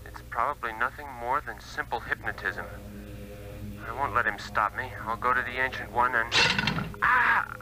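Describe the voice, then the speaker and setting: An actor playing a skeptical, earnest doctor in a radio drama, speaking dramatically in short phrases. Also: male voice, mid-range voice, animated, normal speed, noisy background, natural voice. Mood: desperate, bitter. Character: skeptical, earnest Western male doctor turned seeker. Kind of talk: 1960s-era radio drama acting.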